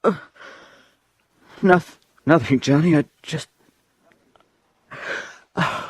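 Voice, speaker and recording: A man's voice: on the high side, very slow, slightly rough, no echo, heavily acted. Anxious, stammering American man. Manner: hesitant, stammering, fretful. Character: flustered, anxious, apologetic man with a bad ulcer. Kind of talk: classic radio drama acting.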